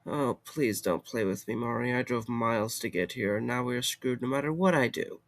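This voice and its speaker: A man's voice: mid-range voice, normal speed, lightly acted. A man acting in an audio drama as a weary, wry young man with a casual, sardonic, rambling way of talking. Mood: exasperated.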